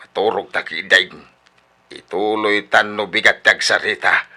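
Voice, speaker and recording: A man's voice: lowish voice, fairly even intonation, measured pace, bright, forceful tone, heavily acted. A man with an Ilocano accent playing a sly, evasive, stammering philandering husband in a radio comedy drama. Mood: defensive.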